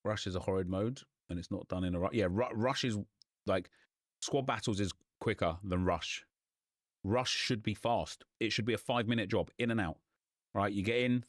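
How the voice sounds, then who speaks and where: Brief: young male gaming streamer, a bit hoarse, low voice, rants casually, dry close mic. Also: no room sound. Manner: chatty, ranting, quick asides. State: slightly hoarse.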